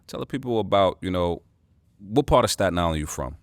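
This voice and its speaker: A man's voice: sits low, animated, brisk. A man hosting a casual interview in a boxing gym, hyping the intro and then asking questions in an easygoing way. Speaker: interviewer, show host.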